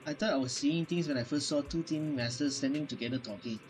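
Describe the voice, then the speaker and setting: A man's voice: on the high side, quick pace, slight background noise. A man doing playthrough commentary as a gaming host, reacting and reading game text aloud. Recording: game music behind the voice.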